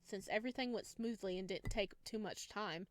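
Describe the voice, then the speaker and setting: A woman reads visual novel narration aloud as a let's play host, dropping in casual reactions between lines. Her pitch sits in the middle for a woman, and music plays behind her voice. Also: moderate pace. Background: background music.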